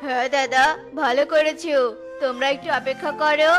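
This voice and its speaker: A woman's voice: high-pitched, steady tone, a little fast, a bit bright, soft background music. A woman voicing a selfish, boastful male crow in a children's cartoon, with a scornful, bragging manner. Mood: eager, hawking.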